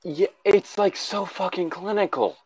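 A man's voice: high voice, measured pace, darkish tone, slightly rough, dry recording. Exasperated man with a dull, dry sound. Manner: exasperated, profane. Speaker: co-host reading and mocking internet horror fiction. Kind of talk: casual joking commentary with read-aloud passages.

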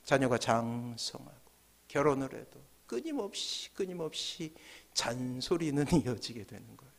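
A man in his forties from South Korea preaching a sermon as a pastor, swinging emphatically between hushed and forceful phrases, at a church pulpit microphone. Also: mid-range voice, very animated, normal speed, vocal fry. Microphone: gooseneck podium microphones.

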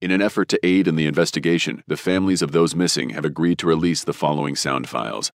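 A man's voice: extremely low pitch, fast, gravelly, no room sound, clean studio sound. A man playing a grave, formal announcer reading a scripted disclaimer.

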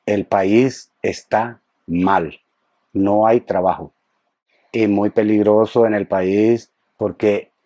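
A middle-aged man from Honduras speaking slowly and hesitantly in a documentary interview, on a lapel mic indoors. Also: on the low side, mellow. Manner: slow, hesitant. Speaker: migrant. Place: indoor shelter room.

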